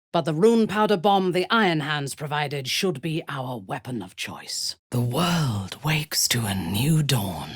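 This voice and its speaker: A woman playing a haughty, commanding female warrior cleric with a husky voice, cruel yet intimate, in a fantasy video game. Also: sits low, dry recording, clean studio sound, heavily acted. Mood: low intimacy. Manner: commanding, haughty, deliberate, theatrical.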